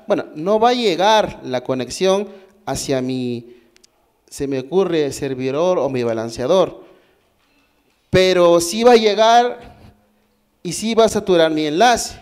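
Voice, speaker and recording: A man's voice: high-pitched, a little mellow, clean tone. Peruvian man giving a conference talk. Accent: Peruvian Spanish. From Peru. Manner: explanatory, asks the audience questions, frequent restarts. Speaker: conference speaker. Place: conference hall.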